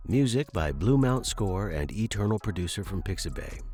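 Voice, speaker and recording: A man narrating as a story podcast host, with a measured, articulate delivery. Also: sits low, lively intonation, dark tone, soft background music. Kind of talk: scripted fiction narration and podcast outro.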